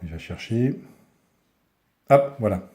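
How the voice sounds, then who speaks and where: A man's voice: on the low side, lively intonation, slow pace, warm tone. A man giving a casual hands-on product review, speaking informally and often searching for his words.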